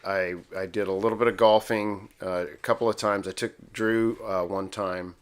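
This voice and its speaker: Low-voiced man around fifty, casual chat. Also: fairly even intonation, normal speed. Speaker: podcast co-host.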